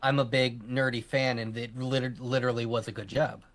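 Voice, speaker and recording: Joking man in quick banter. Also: mid-range voice, flat delivery, fairly bright tone. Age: adult, a father. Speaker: podcast co-host. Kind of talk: casual chat.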